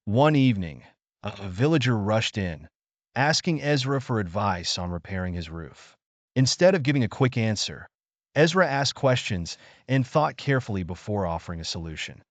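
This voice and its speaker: Expressive male storyteller, lowish voice. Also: moderate pace, no echo. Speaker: storyteller, narrator. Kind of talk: scripted bedtime story narration for children.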